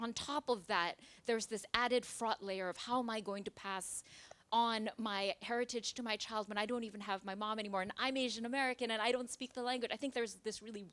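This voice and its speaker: A woman novelist from Singapore speaks with a middle-range pitch in a dry, close sound. She works through her thoughts aloud, with fillers and restarts, at a library talk. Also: a bit bright, dry recording. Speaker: novelist guest. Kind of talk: conversational author talk. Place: library event space with live audience.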